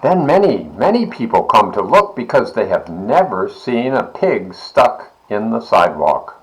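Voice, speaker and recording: A man with a lowish voice reads a children's story aloud. He is deliberate and slow, with wide, expressive swings of pitch. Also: poor audio. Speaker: volunteer story reader, library board member.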